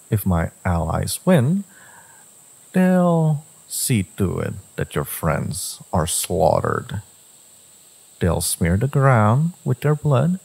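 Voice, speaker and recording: A man voicing a brooding, devoted fantasy villain in a romance audio roleplay, speaking deliberately in a low, gravelly, husky voice. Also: a little mellow, full character voice, rough recording. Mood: grim. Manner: slow, deliberate, with pauses and sighs. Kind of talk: dramatic second-person romance audio roleplay.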